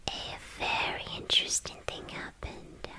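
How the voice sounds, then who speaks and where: A woman playing a playful, boastful young female villain in an ASMR roleplay, whispering breathily and teasingly with halting, repeated phrases. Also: natural voice. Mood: intrigued, admiring.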